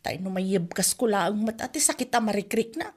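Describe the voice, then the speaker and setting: A woman's voice: mid-range voice, animated, moderate pace, breathy edge. A woman with an Ilocano accent playing a dramatic, complaining wife and new mother in a comedy radio drama. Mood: subdued, sulky.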